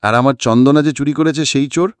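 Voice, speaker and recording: A man's voice: mid-range voice, fairly quick, no room sound, voice pushed up, natural voice. A man voicing an eager, imaginative young boy full of questions in an audiobook story. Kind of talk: audiobook character acting.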